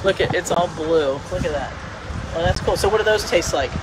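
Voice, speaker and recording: A woman's voice: fairly low pitch, a little fast. A middle-aged woman hosting a casual on-camera vlog, chattily asking questions in an informal, exclamatory way. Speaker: cooking vlog host interviewing a farmer. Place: open-sided farm market and sunny parking lot outside. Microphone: phone at arm's length. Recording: clear outdoor ambient noise.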